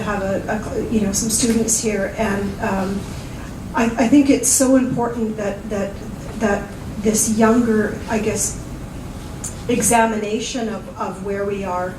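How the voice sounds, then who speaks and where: A woman with a loud voice speaks from the audience at a gallery panel, rambling as she builds a question. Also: medium pitch. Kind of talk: question from the floor. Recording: roomy, distant, noisy.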